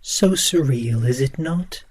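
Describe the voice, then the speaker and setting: A man's voice: mid-range voice, slow, breathy edge. Man playing a brooding, courtly horror radio host. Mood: musing, ominous. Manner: formal, brooding, theatrical. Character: spooky, courtly male radio host lurking in shadows. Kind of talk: theatrical horror anthology hosting.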